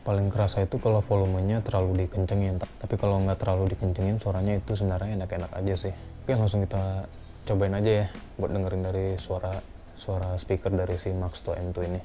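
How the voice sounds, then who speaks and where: A young man talks casually through a product review in a deep, very mellow voice. His delivery is flat and conversational, as if unscripted. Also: fast, soft background music.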